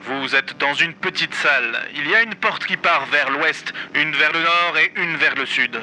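Man as a theatrical, slightly high-voiced spy handler. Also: normal speed, lightly acted, rough recording. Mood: instructing. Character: theatrical male spy handler, smugly instructing. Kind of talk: radio comedy game narration.

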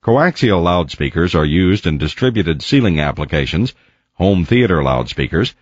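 A man with a low, husky voice narrates instructional material. His delivery is measured and precise, with lively intonation that clearly marks each point of the scripted lesson. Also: no room sound, highs cut off. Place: close, dry voice-over recording.

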